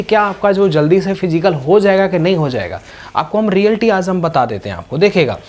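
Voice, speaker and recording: A man's voice: high voice, a little mellow. Male exam coach. Informal, instructive explainer who restates points and keeps addressing students directly. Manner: repetitive, instructive, with frequent verbal pointers to the listener.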